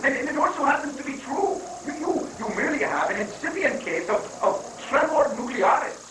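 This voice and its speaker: A high-voiced, coaxing guru played by a man counsels patiently in stilted, halting phrases. It is a satirical play reading, heard from off the mic in an echoing, noisy room. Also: very animated. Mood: soothing explaining. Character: calm, coaxing male guru advising a president. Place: roomy indoor live reading, far from the mic. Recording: roomy, distant, noisy 1980s live recording.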